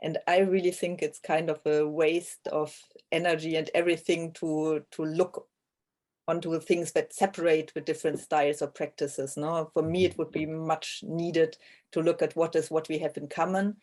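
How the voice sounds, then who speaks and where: Even-toned woman with German as first language. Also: deep voice, moderate pace. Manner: tentative, seeks agreement with tag "no?". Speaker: interviewed author. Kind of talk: reflective interview conversation.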